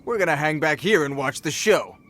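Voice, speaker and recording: A man's voice: fairly high pitch, lively intonation, brisk, a bit bright, a little hiss or hum. A man voicing a streetwise bandit thug in a video game, taunting and slangy with a swagger. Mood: taunting, amused. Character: mocking, streetwise male bandit thug, rough-mannered.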